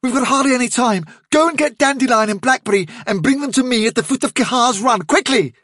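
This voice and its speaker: Man as a high-voiced, urgent rabbit leader. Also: a bit bright, dry recording, clean studio sound. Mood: urgent, commanding. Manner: brisk, clipped orders. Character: decisive male rabbit leader, urgent and commanding. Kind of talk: audiobook character acting.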